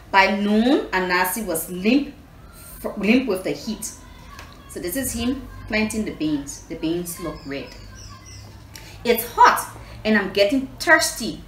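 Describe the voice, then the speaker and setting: Female children's storyteller, unhurried and very lively in pitch, adds explanatory asides, roomy recording. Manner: expressive, explanatory, addresses listeners with questions. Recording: echoey room, distant mic, faint noise.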